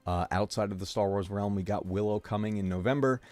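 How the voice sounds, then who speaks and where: A man's voice: on the low side, fairly quick, darkish tone, no echo. A male gaming streamer chatting casually to his viewers, full of ums and uhs, with a sore throat and a fading voice. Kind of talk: casual live-stream chat.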